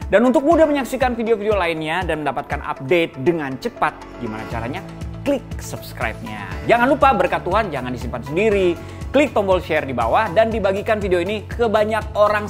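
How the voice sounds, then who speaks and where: A woman's voice: lowish voice, animated, fairly quick. A woman reading a friendly channel announcement asking viewers to subscribe and share. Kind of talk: scripted channel outro. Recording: music bed behind voice.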